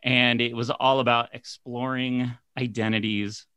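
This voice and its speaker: A man's voice: mid-range voice, little pitch movement, unhurried, bright, forceful tone, clear, resonant tone, no echo. A man co-hosting a podcast, talking casually as he interviews guests. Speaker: podcast co-host.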